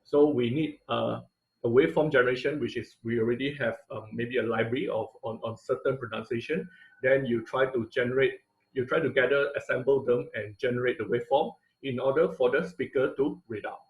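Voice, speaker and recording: A man's voice: medium pitch, a little fast, low-quality recording. Male instructor, patient explainer, filler-heavy, computer mic. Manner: explanatory, frequent "uh" and "right?" tag questions. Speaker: robotics instructor. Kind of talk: online class lecture over slides.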